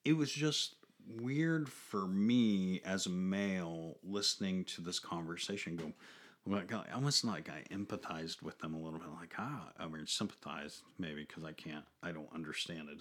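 A man in his forties chatting casually as a co-host, rambling and filling his talk with "you know" and "like". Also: low-pitched. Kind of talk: casual chat.

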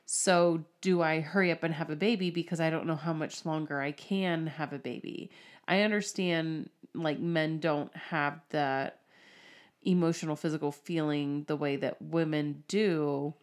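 A woman's voice: low voice, steady tone, unhurried. A woman in her thirties chatting casually as a co-host, thoughtful and a little hesitant. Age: 30s. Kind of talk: casual chat.

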